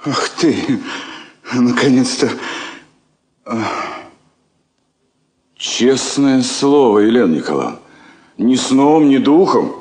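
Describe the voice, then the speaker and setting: A man's voice: mid-range voice, expressive, slow pace, long pauses, darkish tone, reverberant. An actor playing an eager, blunt male embassy officer in a Soviet radio drama. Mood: excited, protesting.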